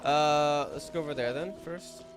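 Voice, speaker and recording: A man casually reacting and thinking aloud while playing a game, relaxed and chatty. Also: a little high, normal speed. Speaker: gaming streamer. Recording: voice over game music.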